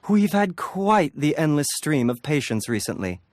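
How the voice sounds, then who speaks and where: An actor playing a gentle, scholarly male doctor in a video game, with a polite, refined, measured manner. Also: fairly high pitch, sing-song, dry recording, clean studio sound.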